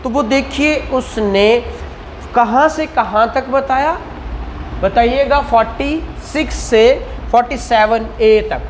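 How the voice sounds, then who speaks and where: A man's voice: extremely high pitch, slow pace, slight background noise, rough recording. A man with a Pakistani accent giving a classroom law lecture, didactic, often prompting listeners with questions and repeating the answers. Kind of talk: classroom exam-prep lecture.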